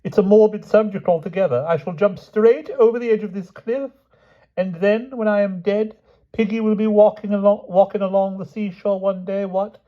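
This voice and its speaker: A man's voice: high-pitched, steady tone, normal speed, lo-fi sound, voice pushed up, close to an everyday voice. A man voicing a dithering, lovesick old king who is fretful and self-pitying, with flustered, whining speech. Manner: flustered, whining, trailing "what?".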